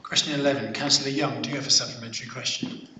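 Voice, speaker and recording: A man chairing a council meeting, briskly calling on speakers. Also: mid-range voice, steady tone, recorded from a distance, background noise. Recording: echoey chamber from off mic.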